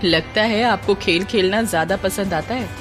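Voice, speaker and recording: A woman's voice: mid-range voice, normal speed, soft background music, lightly acted. A woman voicing an earnest, concerned village priest, a man, in an audio drama. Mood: uncertain.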